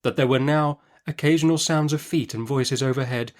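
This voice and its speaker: Man narrating a classic novel, mid-pitched. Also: a little fast. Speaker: audiobook narrator. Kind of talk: expressive literary storytelling of a classic novel.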